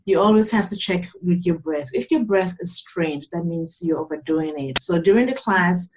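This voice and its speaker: A young adult woman from Cameroon, a yoga teacher calmly and earnestly explaining her practice in an interview. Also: fairly low pitch, normal speed, background noise. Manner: calm, earnest, explanatory with frequent restarts. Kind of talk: interview answers, conversational.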